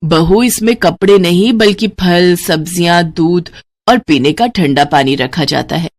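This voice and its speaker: A woman voicing an older, weary mother-in-law who manages her household and scolds, in an animated story. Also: fairly low pitch, measured pace. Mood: correcting. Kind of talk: animated moral story character voice.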